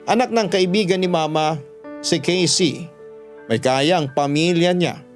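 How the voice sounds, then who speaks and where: A man's voice: a little high, close to an everyday voice. A man playing a regretful young man with a Filipino accent, narrating his own love story for radio drama. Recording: music bed behind the voice.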